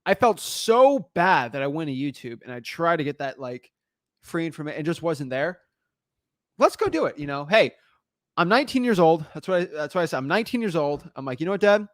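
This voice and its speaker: An energetic young man with a high, bright voice, talking fast and animated in a casual conversation. The sound is close and dry, with no room around it. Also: no echo. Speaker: podcast host. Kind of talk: casual chat.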